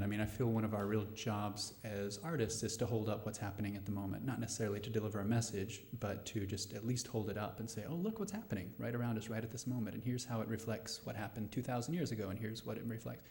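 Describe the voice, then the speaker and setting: Low-voiced man, thoughtful and halting. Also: darkish tone. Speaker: playwright answering audience questions. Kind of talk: post-show question and answer talk. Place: live radio play venue before a studio audience.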